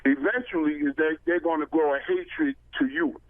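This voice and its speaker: Higher-voiced man on tinny phone line. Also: moderate pace, dry recording. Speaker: phone-in caller. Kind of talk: casual radio call-in.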